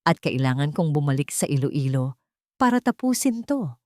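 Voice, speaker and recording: A woman's voice: sits low, very animated, normal speed, darkish tone, no room sound, clean studio sound. A woman voicing a resolute, protective elder sister in an audio drama, speaking firmly.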